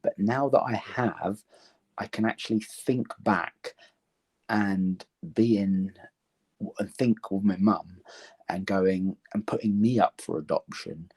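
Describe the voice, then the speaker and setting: Soft-spoken man with an English accent. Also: lowish voice, slow, vocal fry, breathy edge. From Romania. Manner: earnest, thoughtful, many ums. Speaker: interview guest. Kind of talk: reflective conversation.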